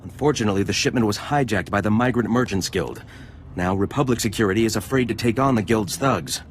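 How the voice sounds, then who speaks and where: A man's voice: on the low side, fast, a little hiss or hum, close to an everyday voice. Actor as gushing, eager senator's aide, male. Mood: eager, formal. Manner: gushing, formal, obsequious. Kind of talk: video game dialogue acting.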